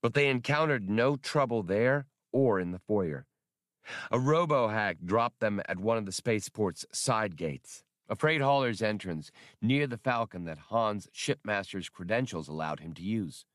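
A man's voice: on the low side, lively intonation, unhurried, a bit bright, no room sound, studio quality. A man reading scripted fiction as an audiobook narrator.

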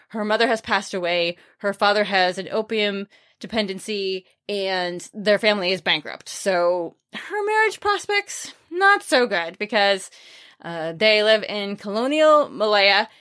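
A woman's voice: mid-range voice, fairly bright tone. A woman hosting a podcast, chatting casually and informally through book recommendations with wry, understated asides. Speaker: podcast host reviewing books.

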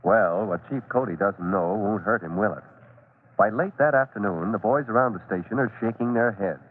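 A man narrates an old radio serial in scripted storytelling style. His voice is on the low side, and the recording is thin and tinny like an old broadcast. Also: moderate pace.

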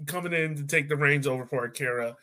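A man's voice: fairly high pitch, fast, sharp tone. A man hosting a sports podcast in a casual, conversational way. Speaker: podcast host.

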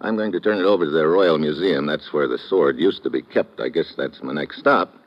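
An actor playing a tough, unflappable American investigator, laconic and sardonic, acting and narrating in an old radio adventure. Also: male voice, extremely low pitch, fairly quick. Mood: wry, serious. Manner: laconic, sardonic, clipped present-tense storytelling. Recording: dull old radio recording.

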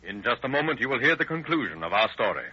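An actor as a formal, moralizing announcer narrating an old radio crime drama. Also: male voice, mid-range voice, very animated, fast, loud music behind the voice, natural voice. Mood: solemn, formal. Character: solemn male crime-show announcer. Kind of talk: solemn 1930s radio scripted narration. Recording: noisy, muffled old radio broadcast.

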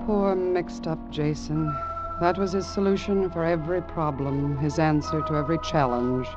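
Man as suspense narrator, fairly high voice, wry, theatrical radio narration, muffled recording, music behind. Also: fairly even intonation, moderate pace, close to an everyday voice. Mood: wry, pitying. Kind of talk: old-time radio drama narration.

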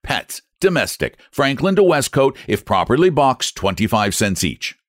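A man voicing an enraged, sarcastic customer who shouts and sputters over a shipping fee. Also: low-pitched, huge pitch swings, moderate pace, no echo, clean studio sound, voice pushed down. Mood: furious. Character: furious, sarcastic man shipping guinea pigs.

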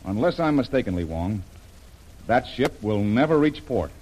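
An actor playing a plucky, plain-spoken American seafaring adventurer in an old-time radio drama. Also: male voice, mid-range voice, lively intonation, normal speed, darkish tone, lightly acted. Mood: determined, urgent. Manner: plain-spoken, informal, hesitant when cornered. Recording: noisy vintage radio drama recording.

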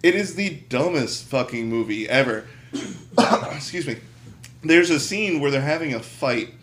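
A man's voice: mid-range voice, animated, measured pace, fairly bright tone, slight background noise. A man in a casual, joking group chat, telling things in a wry, irreverent way. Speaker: podcast co-host.

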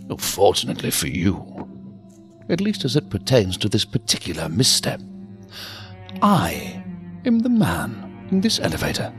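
Eerie elevator attendant: wildly swooping delivery, ornate mock courtesy, menace under the politeness, music behind. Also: male voice, mid-range voice. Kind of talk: science fiction audio drama acting.

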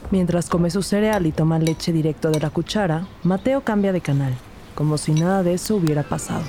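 A woman's voice: fairly low pitch, fairly quick, heavy background noise. A woman narrating a scripted Spanish-language crime audio drama. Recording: loud music behind the voice.

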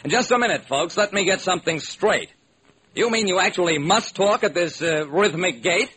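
A man's voice: high voice, normal speed, fairly bright tone. An actor playing a wry private detective who narrates his case in theatrical rhyme, in old-time radio style. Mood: animated, insistent. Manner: theatrical, sing-song rhyming delivery. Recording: noisy vintage radio recording.

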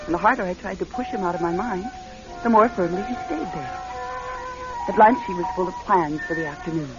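A woman's voice: fairly low pitch, fairly quick, music in the background, close to an everyday voice. An actress playing a reserved, polite divorced career woman who also narrates her own story in a classic radio drama style. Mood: reflective narration. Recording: dull, lo-fi old radio recording.

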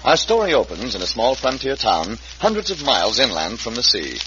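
A man's voice: medium pitch, wildly swooping pitch, fairly quick, background noise. A man announcing and narrating in a dramatic, declamatory old-time radio style. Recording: muffled vintage radio recording, loud music behind.

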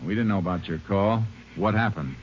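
An actor playing a calm, shrewd, measured Texas Ranger in a 1950s radio western. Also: male voice, low-pitched, a little hiss or hum, lightly acted. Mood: alert. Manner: measured, businesslike. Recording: muffled old radio recording.

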